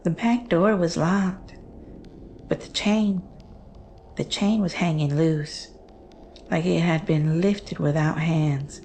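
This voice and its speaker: A woman playing a grieving, haunted daughter who recounts her dread in a horror drama, halting and deliberate. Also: fairly low pitch, fairly even intonation, a little mellow, slight background noise. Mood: uneasy. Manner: halting, deliberate, with weighty pauses. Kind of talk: slow dramatic horror monologue.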